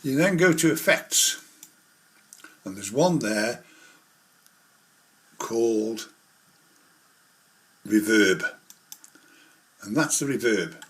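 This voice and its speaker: A man's voice: lowish voice, animated, very slow, pauses often, fairly bright tone. Man giving an informal hands-on tutorial. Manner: rambling, step-by-step explaining. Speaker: model railway hobbyist presenter.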